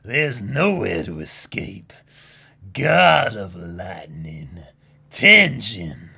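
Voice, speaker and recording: A low, gravelly man's take on a menacing manga villain, husky and theatrical, with slow delivery and big swings in intensity. It sounds like a dramatic battle reading. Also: sing-song, bright, forceful tone, exaggerated voice.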